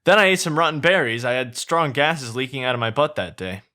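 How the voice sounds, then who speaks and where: Talking donkey, male, pushy and chatty, pitched well up, bright and loud, read by a man. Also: animated, fairly quick. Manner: rambling, eager, pleading.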